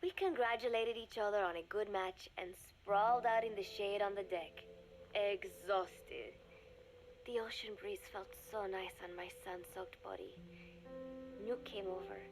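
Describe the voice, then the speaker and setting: An actress voicing an earnest young woman reading her diary aloud in a video game, calm and reflective. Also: medium pitch, a little slow, soft background music, close to an everyday voice, rough recording. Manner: calm, reflective, softly spoken. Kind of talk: game audio diary narration.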